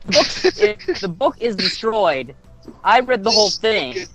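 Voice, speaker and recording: Man, high voice, animated intonation, casual gaming chat, faint music. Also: a little slow. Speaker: player in a tabletop game.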